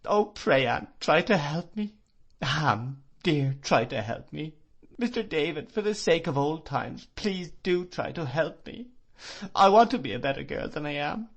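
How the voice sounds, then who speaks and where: A distraught young woman pleads through sobs, her voice pitched up high and loud with distress, as a male storyteller puts her on. She sounds desperate and heartbroken in a storybook reading. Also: lively intonation, measured pace, creaky, full character voice. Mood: pleading. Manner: sobbing, pleading. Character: young woman, tearful and remorseful.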